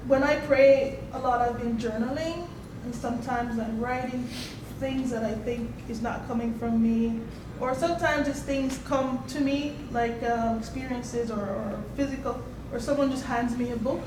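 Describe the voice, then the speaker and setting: Woman, audience questioner, hesitant, informal, echoing room, clear background noise. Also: fairly high pitch, fairly even intonation, moderate pace, few pauses. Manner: tentative, hesitant. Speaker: seminar participant asking a question. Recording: echoey, noisy room, distant mic.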